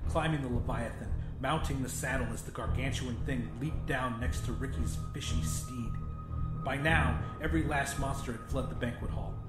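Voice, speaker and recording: A man's voice: mid-range voice, normal speed, roomy sound, loud music behind the voice. A man giving a dramatic, scripted narration of a horror story as an audiobook narrator.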